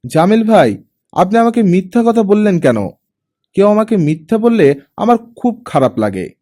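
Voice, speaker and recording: Man voicing an insistent high-pitched teenage girl. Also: big pitch swings, normal speed, warm tone. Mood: hurt, accusing. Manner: insistent, childlike directness. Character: earnest, naive, outspoken teenage girl.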